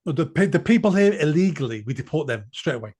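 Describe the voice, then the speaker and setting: A man's voice: fairly high pitch, lively intonation, fast talker, darkish tone, breathy edge. Blunt, emphatic man. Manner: blunt, emphatic, repeats phrases for force. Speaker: podcast guest. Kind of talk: political podcast discussion.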